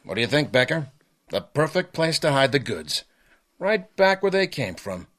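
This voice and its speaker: An actor in a radio drama playing a brash, self-satisfied investigator with an emphatic way of talking. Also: male voice, mid-range voice, very animated, moderate pace, fairly bright tone. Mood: triumphant.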